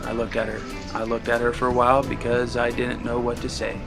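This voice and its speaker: Male narrator, mid-range pitch, first-person dramatic story reading, prominent guitar backing. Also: completely flat delivery, a little fast, creaky. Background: guitar music.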